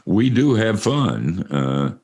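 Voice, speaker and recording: An older man with a deep voice hosting a casual interview, joking and laughing at himself. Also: very animated, slow pace, darkish tone. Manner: joking, self-mocking, laughs often. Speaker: radio show host.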